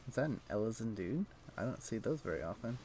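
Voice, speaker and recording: A man's voice: on the low side, big pitch swings, fast talker, darkish tone, a little hiss or hum. A man giving casual, offhand gameplay commentary as a livestreamer, muttering short reactions as he plays. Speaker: game livestreamer.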